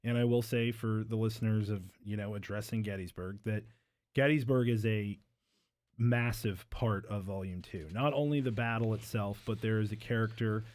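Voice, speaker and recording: A man's voice: on the low side, fairly even intonation, moderate pace, a little mellow. A man, a novelist, talking casually as a guest in an interview.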